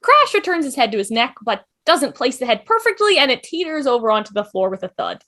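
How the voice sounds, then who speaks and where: High-pitched, very lively woman narrator. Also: fairly quick. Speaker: narrator reading stage directions. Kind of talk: scripted narration at a table read. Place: home, on a video call. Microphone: video call microphone.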